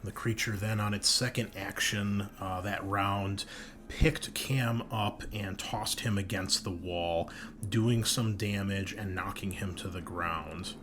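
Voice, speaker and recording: A man's voice: on the low side, fairly even intonation, slow. A man hosting as game master, recapping a tabletop session in an unscripted, matter-of-fact, explanatory way.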